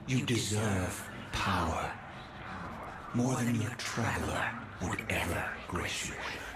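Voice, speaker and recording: An ancient godlike game villain, imperious and solemn. The voice is low and extremely slow, grandiose and tempting, with loud ambient noise behind it. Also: male voice, exaggerated voice. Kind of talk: dramatic video game cutscene acting.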